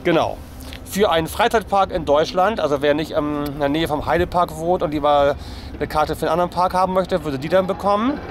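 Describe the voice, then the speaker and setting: A man's voice: a little high, a little fast, background noise. A man in his forties with a German accent, presenting a casual family vlog outdoors to a handheld camera. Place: outdoors in an amusement park by a lake. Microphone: camera held at arm's length.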